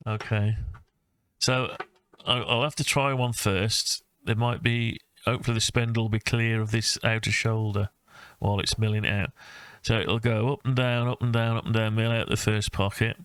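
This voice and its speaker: A man talking through his own design project informally, thinking aloud with hesitant, halting phrases. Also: fairly low pitch, little pitch movement, a bit bright, clear, resonant tone, no room sound. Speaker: hobbyist maker presenting own design project. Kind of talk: informal screen-recorded CAD design walkthrough.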